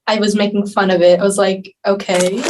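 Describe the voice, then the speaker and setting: A woman's voice: mid-range voice, flat delivery, brisk. An indignant teenage girl speaks as a guest on a video call, with a mocking edge. Faint noise sits behind her voice.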